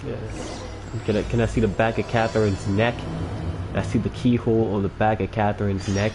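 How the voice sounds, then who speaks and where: A man's voice: on the low side, level tone, brisk. A man giving casual commentary as he streams a game. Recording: noisy, game audio behind.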